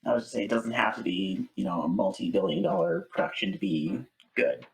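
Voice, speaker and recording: A man speaking as a guest in a casual interview, in a halting way that repeats words for emphasis. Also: sits low. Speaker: interview guest, audiobook voice actor.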